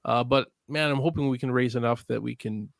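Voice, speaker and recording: A man's voice: mid-range voice, brisk, vocal fry, dry recording, clean studio sound. A man from Canada, a filmmaker guest chatting casually on a podcast, laughing often and swearing freely.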